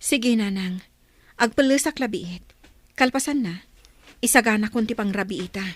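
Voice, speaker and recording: Woman acting high-voiced dutiful but daring young daughter. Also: moderate pace, a little hiss or hum, close to an everyday voice. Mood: apologetic, dutiful. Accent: Filipino. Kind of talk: Filipino radio drama acting.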